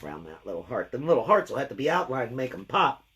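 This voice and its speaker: A woman hosting a live craft painting session. She has a deep, gravelly voice left over from a cold, and she chats and thinks aloud while she works. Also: very animated, fast talker, a bit bright. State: lingering gravelly voice after a cold. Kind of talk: casual live painting demo.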